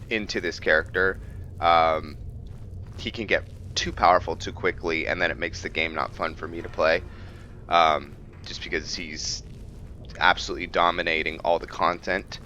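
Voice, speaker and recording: A man's voice: sits low, bright, forceful tone, a little hiss or hum. A man hosting a gaming video, giving casual let's-play commentary as he plays.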